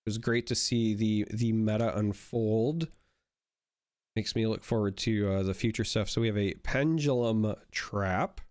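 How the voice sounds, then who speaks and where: Low-ish guy rambling thru a pack opening, lots of ums, voice kinda worn from being sick, dry close mic. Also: fairly even intonation, a little slow, a little mellow, no echo. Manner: rambling, many fillers and restarts. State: recovering from illness, voice not fully back. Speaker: card game YouTuber opening packs. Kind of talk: casual pack-opening commentary.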